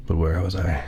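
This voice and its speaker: A man's voice: low voice, a little mellow, a little hiss or hum. A man playing a spiteful laid-off torturer. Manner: formal, theatrical villain diction, slow and deliberate. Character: spiteful laid-off veteran male torturer, fussy and formal. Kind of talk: ASMR roleplay. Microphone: 3Dio binaural mic.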